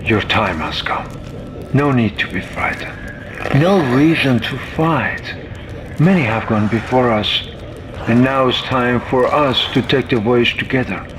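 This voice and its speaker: An actor playing a calm, sinister religious zealot with a soothing, reverent menace in a horror game. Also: male voice, unhurried, lightly acted. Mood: serene menace. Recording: heavy rumbling background noise.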